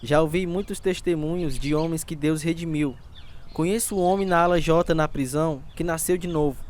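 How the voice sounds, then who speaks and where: A man's voice: on the high side, moderate pace, darkish tone, slight background noise, close to an everyday voice. An actor playing a devout, friendly builder with a Brazilian accent in a radio drama, a fervent man. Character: devout, friendly builder who distributes Bibles.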